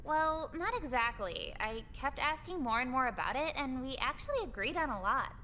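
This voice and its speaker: A flippant, gullible high-school girl in a game, high and bright. Her delivery is breezy and nonchalant with lively swings in pitch, over faint noise. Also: moderate pace. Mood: breezy, unbothered. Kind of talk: visual novel game voice acting.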